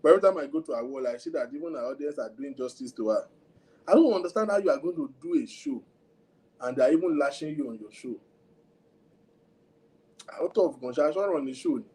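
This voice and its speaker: Chatty Nigerian man, mellow voice. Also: fairly high pitch, very animated, fairly quick, long pauses, low-quality recording. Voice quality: self-described big voice. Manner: rambling, code-switching, chatty, talks to viewers directly. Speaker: livestream host. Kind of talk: casual livestream chat.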